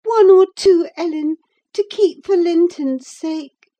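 A woman's voice: very high-pitched, slow pace, mellow, lo-fi sound, voice pushed up. A woman voicing a distraught, passionate teenage girl in an audiobook, pleading in a breathless, tearful way. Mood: desperate pleading. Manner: breathless, sobbing, imploring. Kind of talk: audiobook character acting.

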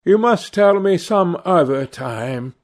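A man voicing a troubled, melancholy young gentleman in an audiobook, plaintive, earnest and polite. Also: high voice, expressive, slow, pitched above the performer's own voice. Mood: strained politeness.

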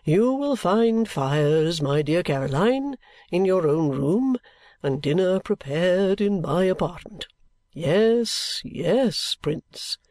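A theatrical old father from a storybook, acted out by a woman, pompous and grandly self-satisfied. He speaks very slowly, stretching each phrase with ponderous dignity. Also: on the low side, lively intonation, darkish tone, dry recording. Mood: stately, condescending. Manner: grandiose, ponderous, drawn-out delivery. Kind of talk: audiobook character acting.

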